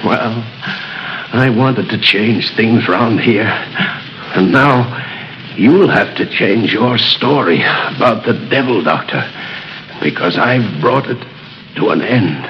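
Desperate father, lively pitch, drawn-out delivery, hissy 1930s radio recording. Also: muffled recording. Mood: dying calm. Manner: shouting, urgent. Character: desperate brave father fighting a devil.

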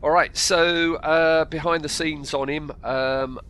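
A man's voice: a little high, steady tone, slow pace, a bit bright, slight background noise. A man with a slightly congested voice from a cold, hosting a casual film podcast in a hesitant, rambling way and repeating himself. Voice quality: bunged-up nose from a cold. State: has a cold. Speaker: podcast host. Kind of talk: casual film discussion reading out actor trivia.

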